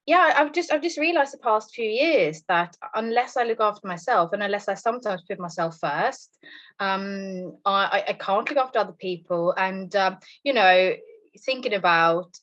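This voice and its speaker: A woman's voice: mid-range voice, animated, normal speed, fairly bright tone, lo-fi sound. A woman in her thirties from Norway with a Norwegian accent, chatting openly and reflectively as a podcast guest. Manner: chatty and reflective, open about herself. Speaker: podcast guest, nutrition coach. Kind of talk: casual chat.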